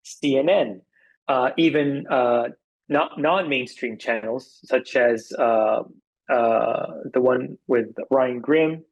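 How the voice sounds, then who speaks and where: A man from Arizona with an American accent, speaking as an interview guest, making political points in a measured, emphatic way with repetition. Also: medium pitch, rough recording. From Arizona, United States.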